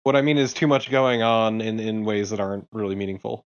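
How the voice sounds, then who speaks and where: A man's voice: fairly low pitch, fairly quick, clear, resonant tone. Man on slightly dull, lo-fi audio. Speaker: co-commentator. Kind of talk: casual gaming commentary.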